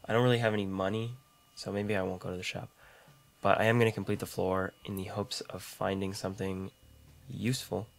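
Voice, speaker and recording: Young man, deep voice, rough throat, audible mouth clicks, casual rambling game commentary. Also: level tone, fairly quick. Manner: rambling, self-deprecating, chatty asides. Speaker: gaming YouTuber. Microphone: large condenser studio mic.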